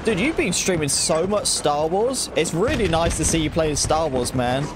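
A man's voice: fairly high pitch, animated, normal speed, background noise. A young man livestreaming a game, chatting casually with viewers and stuttering now and then. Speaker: gaming livestreamer. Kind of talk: casual livestream chat while playing. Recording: game sound mixed loud behind voice. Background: game gunfire and explosions.

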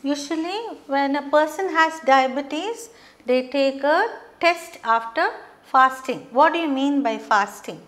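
Slow, high-voiced teacher. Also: female voice, fairly bright tone. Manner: explains slowly, checks with frequent okay. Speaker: English teacher. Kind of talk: whiteboard English lesson. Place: studio classroom with whiteboard.

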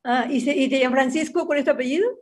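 A woman's voice: high voice, racing. A woman chatting casually as a participant in a group video call. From has lived in several countries, last Venezuela. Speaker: workshop participant.

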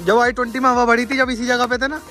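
A man's voice: very high-pitched, flat delivery, quick pace, bright, forceful tone, faint music behind. A young man vlogging a night road trip, chatting with friends and addressing viewers directly. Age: young adult. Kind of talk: casual travel vlog. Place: roadside at night, outdoors. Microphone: phone camera at arm's length.

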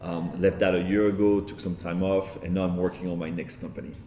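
A man in his forties from France with a French accent, telling his business story in a seated interview, steady and fluent with the odd um. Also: sits low, brisk. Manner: steady, fluent with filler ums and repeats. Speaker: entrepreneur guest. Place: large open-plan office, indoors. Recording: roomy office reverb.

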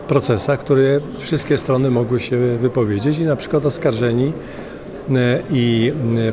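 An older man, a member of parliament, answering interview questions haltingly and with many filler sounds. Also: mid-range voice. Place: large indoor parliament hall. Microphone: handheld interviewer mic.

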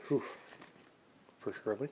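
A man's voice: takes it slowly, dark tone, slight background noise. A man giving casual exploring commentary in short, musing fragments, recorded on a handheld camera inside a barn. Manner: musing, fragmented remarks while looking around. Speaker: urban explorer vlogger. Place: inside a dark abandoned barn. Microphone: handheld camera mic.

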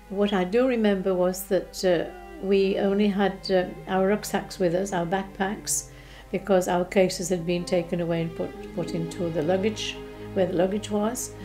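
A woman in her eighties, originally from Czechoslovakia, recalling her childhood gently and unhurriedly, with pauses and restarts. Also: mid-range voice. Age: 80s. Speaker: interviewee. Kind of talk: recounting childhood memories. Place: living room, seated in armchair.

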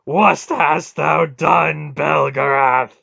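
A man voicing a vast, grieving and vengeful god with loud, archaic, menacing speech in a storybook reading. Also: medium pitch, takes it slowly, vocal fry, dry recording, highs cut off. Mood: raging. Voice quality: loud, roaring, howling.

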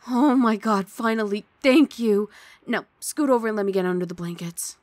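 A woman's voice: mid-range voice, normal speed, darkish tone, dry recording, natural voice. A woman playing a grumpy but affectionate young female roommate in an intimate ASMR roleplay, sardonic and huffy, speaking close to the mic. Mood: huffy, teasing. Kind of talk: ASMR roleplay, intimate one-sided dialogue. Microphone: close studio mic, dry.

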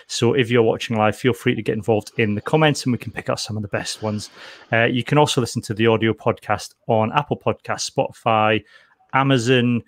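A man's voice: mid-range voice, no room sound. A man, hosting a podcast in a casual, chatty way. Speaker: podcast host. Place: indoor room at home on a video call. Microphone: close desk microphone.

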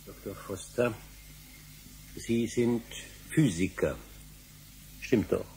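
An actor playing a middle-aged history professor in a German radio drama, weary, brooding and insistent. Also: male voice, fairly low pitch, slow, warm tone, slight background noise, rough recording. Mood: insistent, formal.

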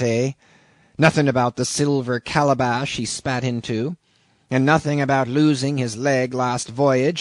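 A man's voice: medium pitch, no room sound, lightly acted. A man voicing a shabby old sailor in an audiobook, an ominous, derisive stranger who talks insistently in archaic seafaring speech. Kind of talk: audiobook character reading.

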